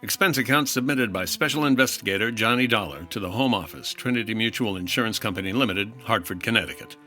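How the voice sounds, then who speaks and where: An actor playing a suave, wisecracking 1950s insurance investigator in old-time radio detective style, with a dry manner. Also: male voice, lowish voice, quick pace, sharp tone, faint music behind. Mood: crisp narrating.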